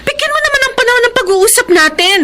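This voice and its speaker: Sex: woman. Role: earnest wife. Pitch: very high. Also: lively intonation, quick pace, background noise. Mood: aggrieved, pleading. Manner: emotional, earnest. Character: aggrieved, pleading young wife and mother. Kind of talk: Filipino radio drama acting.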